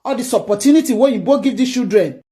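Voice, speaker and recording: Nigerian-accented parent in a phone clip, middle pitch, lamenting and exasperated. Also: expressive, fast talker, dark tone. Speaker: parent living abroad. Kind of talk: social media clip monologue.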